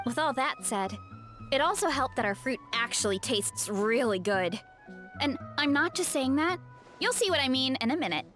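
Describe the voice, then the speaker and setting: A woman's voice: sits high, sing-song, moderate pace, fairly bright tone. A woman playing a cheerful, hearty young farm girl in a video game, chatting in a sweet, easygoing way. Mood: chatty, upbeat. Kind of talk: video game character dialogue. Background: music.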